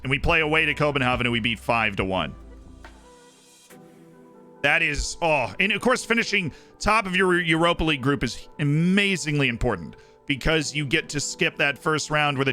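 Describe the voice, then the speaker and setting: An excitable male streamer talks his viewers through a football management save, reacting to results and answering chat as he goes. His voice sits at an ordinary pitch but sounds very bright and keen-edged. Soft music plays quietly behind him. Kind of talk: casual live-stream commentary to chat.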